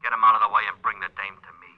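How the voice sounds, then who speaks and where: Man as a fast, threatening radio crime boss. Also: on the low side, flat delivery, loud music behind the voice, lightly acted. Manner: curt, commanding. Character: bossy, threatening crime boss who escaped jail. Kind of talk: 1940s radio crime drama acting. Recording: muffled old radio recording.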